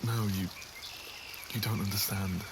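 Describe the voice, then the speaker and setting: Man acting earnest, low-voiced lovesick nobleman. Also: steady tone, measured pace. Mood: tender confession. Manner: earnest, formal phrasing. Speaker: voice actor in an audio roleplay. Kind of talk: ASMR roleplay acting. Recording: audible water and outdoor ambience noise.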